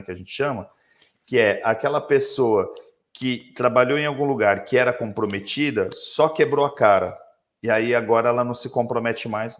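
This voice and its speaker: Man, Brazilian Portuguese accent, hoarse voice, reflective webinar speaker, computer mic. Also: mid-range voice. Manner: reflective, lots of tag questions. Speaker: leadership speaker. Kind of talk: live webinar talk.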